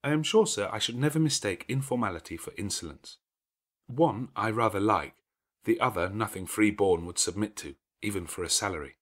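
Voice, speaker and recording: A man voicing a composed, frank young governess in an audiobook of a classic novel, measured and quietly defiant. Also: fairly low pitch, expressive. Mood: quietly firm.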